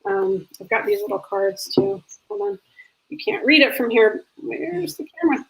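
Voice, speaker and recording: A woman hosts a relaxed video-call interview, her voice sitting a little high. She talks in an upbeat, encouraging way. Her sentences run on with ums and you-knows. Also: normal speed, gravelly, husky, poor audio. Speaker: host.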